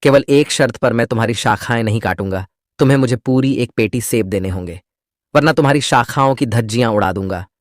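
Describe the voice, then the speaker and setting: A man's voice: medium pitch, fairly quick, dry recording, clean studio sound, lightly acted. A man playing a bossy, greedy elder brother who scolds and domineers in a children's cartoon story. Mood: threatening.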